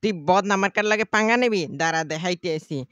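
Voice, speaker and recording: A man's voice: high-pitched, fast talker, fairly bright tone, clear, resonant tone, no echo, studio quality. A man voicing a cocky, pompous election candidate in a comedy cartoon, talking in a declamatory way. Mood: challenging.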